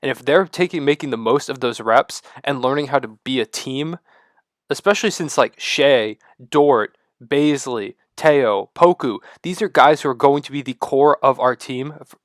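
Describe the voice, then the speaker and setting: Man, congested and stuffy, low energy, rambling fan chat, medium pitch, dry close sound. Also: moderate pace, dry recording. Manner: loose, rambling, excited fan talk with many fillers. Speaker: sports vlogger. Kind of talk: casual rambling talk to camera.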